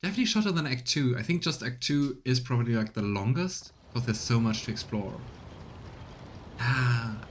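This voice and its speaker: A man's voice: mid-range voice, normal speed, slight background noise. A man casually commentating on a video game, musing aloud and chatting with his viewers as he plays. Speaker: streamer doing a voiced let's play.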